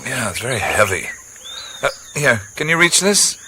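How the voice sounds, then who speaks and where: An actor playing a relaxed, wry adventurer in a radio drama, speaking in an easy drawl. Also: male voice, medium pitch, normal speed, slight background noise. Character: easygoing adventurer man, wry and dreamy. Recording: jungle ambience with insects and birds.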